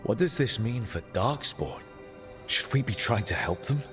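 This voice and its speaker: A man playing a gentle, conscientious elven man in a dramatic fantasy video game. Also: medium pitch, lively intonation, moderate pace, close to an everyday voice. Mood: concerned. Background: background music.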